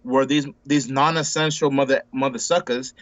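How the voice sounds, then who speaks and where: A man's voice: medium pitch, fairly even intonation, normal speed, fairly bright tone, no echo. Rambling man in his forties, dry lo-fi sound. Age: 40s. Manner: rambling, repeats words and restarts often; laid-back, says bro and dude a lot. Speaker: interview guest. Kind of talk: casual conversation.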